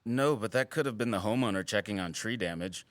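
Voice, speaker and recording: An actor playing a wry, guarded wildlife biologist in an audio drama, with teasing, dry sarcasm and an earnest streak. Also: male voice, fairly low pitch, fairly quick, no echo, natural voice. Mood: matter-of-fact. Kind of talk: naturalistic audio drama acting.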